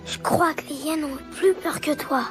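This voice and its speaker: A woman voicing an innocent, trusting young male lion cub in an animated film, curious and eager. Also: high-pitched, normal speed, natural voice. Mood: curious, affectionate. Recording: faint music behind the voice.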